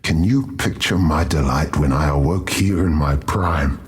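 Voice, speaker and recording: Very deep, gravelly jovial warrior. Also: male voice, measured pace, heavily acted. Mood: amused, exultant. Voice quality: gravelly, husky. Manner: hearty, boastful, teasing.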